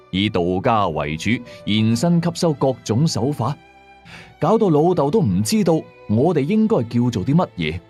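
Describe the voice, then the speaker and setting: Low-pitched male storyteller with animated, swooping intonation. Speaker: storyteller of a radio ghost-story series. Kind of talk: scripted first-person horror story narration.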